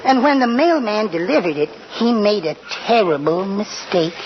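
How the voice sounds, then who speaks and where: An actor playing a meek, timid, mischievous little husband, polite and hesitant, in a 1940s radio comedy. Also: male voice, sits high, animated, moderate pace. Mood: mild, giggly. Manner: mild, polite, hesitant, giggly. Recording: dull noisy vintage radio, loud audience.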